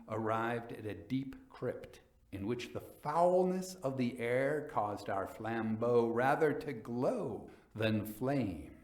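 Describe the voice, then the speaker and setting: A male storyteller narrates in a slow, theatrical style. His mid-pitched voice moves expressively through the literary phrasing, with noticeable room echo. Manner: theatrical, measured.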